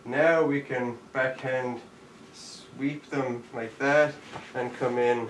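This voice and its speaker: A man's voice: medium pitch, fairly even intonation, slow pace. Man coaching jujitsu in an echoey room. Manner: demonstrating moves while talking, counting out steps. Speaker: jujitsu instructor. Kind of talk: live online martial arts class. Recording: echoey room, distant mic, faint background noise.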